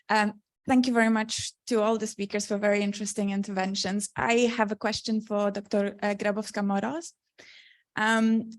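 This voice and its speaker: A woman in a conference audience politely asking a panel a formal question. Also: mid-range voice. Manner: polite, measured. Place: conference hall.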